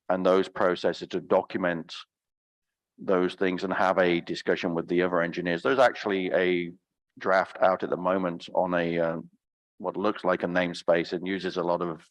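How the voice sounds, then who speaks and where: Man, low voice, halting conference panelist, dry and close. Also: no echo. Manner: halting, repeats words while thinking. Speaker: panel discussant.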